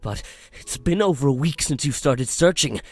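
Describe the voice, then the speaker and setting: A man voicing a worried, grieving parent in a visual novel, speaking in a halting, anxious way. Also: medium pitch, lively intonation, slightly rough, no room sound, faint music behind, pitched above the performer's own voice. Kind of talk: visual novel character reading.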